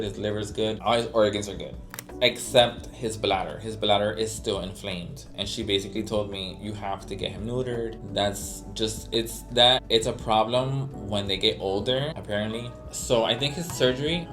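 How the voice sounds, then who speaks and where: A man from Miami with a Cuban-American accent, chatting casually to his viewers in a chatty, dramatic way, slipping Spanish slang and asides into the talk. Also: mid-range voice. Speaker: vlogger. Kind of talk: casual chat to camera. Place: indoors, sitting.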